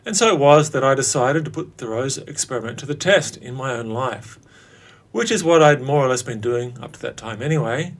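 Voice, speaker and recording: Wry, theatrical man in his late forties. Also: medium pitch. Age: late 40s. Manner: wry, theatrical, with dramatic pauses. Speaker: podcast host telling his own story. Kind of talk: scripted personal narration.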